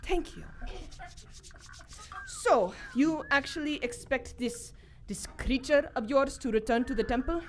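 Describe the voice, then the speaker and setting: A woman's voice: high voice, huge pitch swings, unhurried, long pauses, full character voice. A woman playing a proud, loyal captive adventurer in live radio theater, formal and defiant. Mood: wary, insistent. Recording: live stage recording, faint background noise.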